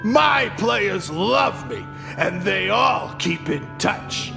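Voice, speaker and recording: A menacing gym teacher in a visual novel game. The voice is gravelly and coaxing, with a threatening edge, ringing in a long reverb over music. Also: female voice, medium pitch, lively intonation, extremely slow, heavily acted.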